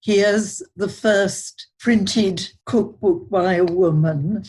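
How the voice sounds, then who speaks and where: An older woman, a culinary historian, answering interview questions in a measured, thoughtful way. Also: medium pitch, darkish tone, husky. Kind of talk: podcast interview.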